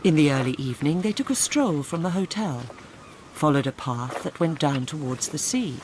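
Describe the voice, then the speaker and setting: An Englishwoman narrating a radio drama in a dry, measured, literary storytelling manner. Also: deep voice, expressive, background noise.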